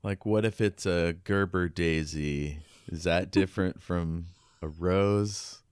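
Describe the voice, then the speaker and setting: A man's voice: deep voice, takes it slowly, clear, resonant tone, no echo, clean studio sound. A man hosting a podcast, casually chatting about a book. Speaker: podcast host. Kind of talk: casual book discussion chat.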